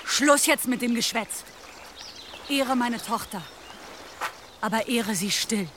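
A woman's voice: on the high side, slow. An actress playing a grieving village mother in a radio drama, firm and commanding. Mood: stern grief. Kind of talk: German radio drama acting. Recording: outdoor rural ambience noise.

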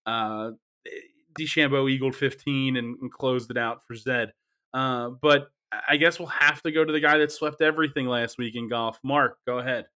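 A man hosting a casual sports betting panel, upbeat and quick to laugh, often restarting his sentences. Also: medium pitch, fairly even intonation, bright, forceful tone, smooth. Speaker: host of a sports betting show.

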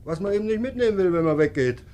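A man's voice: high voice, lively intonation, rapid-fire, a little mellow. An actor playing a deferential sailor who explains himself at rambling length to his captain in a 1950s radio drama. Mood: nervous, deferential. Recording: old radio recording, faint noise, ship ambience.

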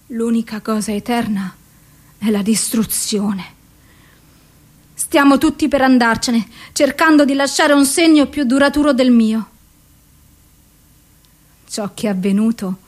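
An actress playing a tormented, despairing woman in a poetic radio drama monologue, speaking in deliberate, intense phrases broken by pauses. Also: on the high side, close to an everyday voice. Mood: bleak, desolate. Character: tormented suicidal woman, broken doll telling her despair.

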